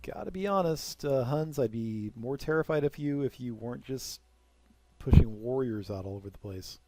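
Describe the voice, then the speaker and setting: Mellow, dry-voiced man rambling over a game. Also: mid-range voice, normal speed, dry recording. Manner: rambling, self-deprecating, joking asides. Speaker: let's play commentator. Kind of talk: casual game commentary.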